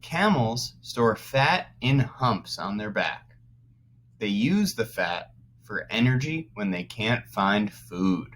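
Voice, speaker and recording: A man teaching young children through a read-aloud, pausing to explain words as he goes. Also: fairly low pitch, very animated, fairly bright tone, slight background noise. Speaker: first-grade teacher.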